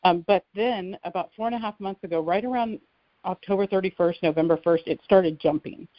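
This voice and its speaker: Low-voiced woman calling in, muffled line. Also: brisk, no echo. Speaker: caller to a conference call. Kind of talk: casual explanatory call-in talk. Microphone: telephone conference line. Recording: muffled, dry phone conference line.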